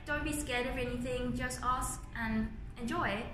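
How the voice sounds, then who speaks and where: A woman's voice: high voice, fairly even intonation, a little slow. A young woman in her twenties from Thailand, speaking English with a Thai accent, earnestly answering interview questions as a medical student. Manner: earnest, plain-spoken, slightly non-native grammar. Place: indoors by large windows, university building. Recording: roomy echo with clear ambient noise.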